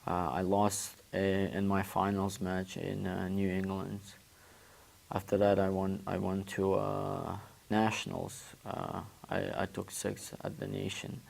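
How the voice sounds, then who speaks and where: A young man from Uzbekistan speaks English, his fourth language, in a low, gravelly voice. His delivery stays flat and unhurried, with frequent restarts and repeated words. He is a guest answering questions on a local show. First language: Turkish. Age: 20s. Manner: halting, repeats words while searching for phrasing. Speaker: guest, former student athlete. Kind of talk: casual interview answers.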